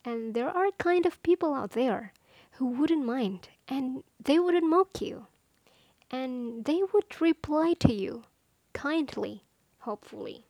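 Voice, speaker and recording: A woman's voice: high-pitched, animated, takes it slowly, warm tone, no echo. A woman who speaks English as a second language, giving casual advice in a playful, self-deprecating, chatty way. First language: not English. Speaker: content creator giving language-learning advice. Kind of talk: casual monologue to listeners.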